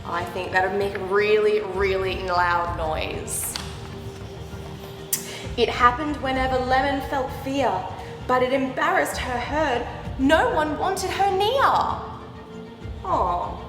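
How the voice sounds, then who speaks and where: Lady doing kids storytime, high voice, slow n expressive, music behind her. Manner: animated, exaggerated reactions with gasps and drawn-out words. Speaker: children's storyteller. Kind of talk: expressive picture-book read-aloud with asides to young children. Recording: roomy echoing hall sound, background music.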